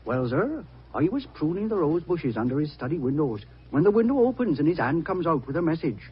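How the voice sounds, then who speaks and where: An actor playing a deferential, chatty village gardener in an old-time radio drama. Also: male voice, on the high side, big pitch swings, brisk, slightly rough, heavily acted. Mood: humble, obliging. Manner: obliging, repeatedly says sir. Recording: muffled old radio recording.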